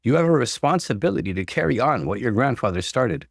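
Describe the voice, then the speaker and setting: A man voicing a gentle but insistent mother in a story, coaxing and concerned. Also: medium pitch, big pitch swings, fast, vocal fry, dry recording, studio quality.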